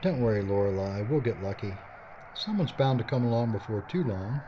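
A man's voice: on the low side, expressive, fairly quick, dull audio, background noise, close to an everyday voice. An actor playing a blunt, wry, fatalistic male filmmaker making a last stand in a radio horror drama. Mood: steady, tense.

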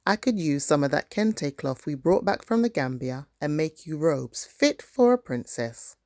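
A kindly storybook grandmother, played by a woman reading aloud, gently questioning and reassuring. The voice is low, in a dry recording. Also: animated, unhurried, no room sound. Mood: practical, reassuring. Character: kindly, encouraging grandmother of a young girl.

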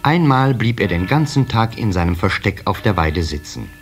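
A man narrating a children's radio play in a measured storyteller's delivery. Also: fairly low pitch, big pitch swings, faint music behind. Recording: slightly hissy old cassette recording.

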